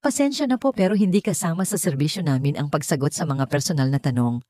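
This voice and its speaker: A woman's voice: low-pitched, quick pace, mellow, no room sound, clean studio sound. A woman voicing a proud young waitress in an audio story, coldly formal and emphatic, with a Filipino accent. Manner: formal, clipped, emphatic politeness.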